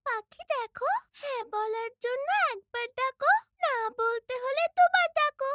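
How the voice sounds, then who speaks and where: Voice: extremely high pitch, a little slow. An actor voicing a cheerful, caring little girl in a children's cartoon, speaking in simple, eager, childlike phrases. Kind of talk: animated children's cartoon dialogue. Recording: music behind the voice. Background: prominent music.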